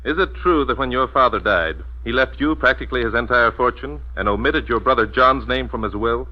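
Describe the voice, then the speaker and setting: An actor playing a shrewd, composed detective in a 1940s-style radio mystery, speaking in a measured, formal, assured way. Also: male voice, mid-range voice, natural voice. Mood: probing. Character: shrewd, composed amateur detective leading a murder inquiry. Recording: muffled vintage radio drama recording.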